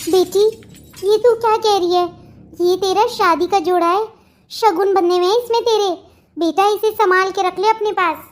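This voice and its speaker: A performer acts out an elderly, motherly mother-in-law in a family cartoon. The voice is strikingly high, with a doting, pleading way of talking and a worried edge. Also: fairly even intonation, normal speed. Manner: pleading, motherly, repetitive endearments. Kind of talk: Hindi family comedy cartoon dialogue.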